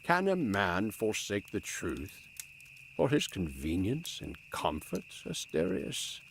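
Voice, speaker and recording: A man playing a gentle, devout old healer and priest in an audio drama, speaking earnestly in measured, old-fashioned formal phrases. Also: fairly low pitch, wildly swooping pitch, gravelly, a little hiss or hum, heavily acted. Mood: calm, steadfast.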